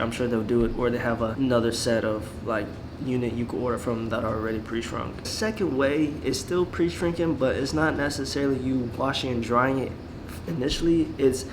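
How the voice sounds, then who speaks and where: A man's voice: medium pitch, noisy background. Sick young guy, laid-back vlog talk. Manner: laid-back, rambling with lots of "like". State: sick, losing his voice. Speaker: clothing brand owner and YouTuber. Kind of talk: casual vlog advice.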